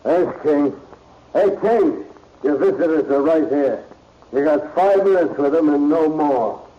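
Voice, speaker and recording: An actor playing a gruff, bossy male prison guard in a 1940s radio drama. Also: fairly high pitch, lively intonation, a little slow. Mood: curt, bossy. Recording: muffled old radio recording, faint hiss.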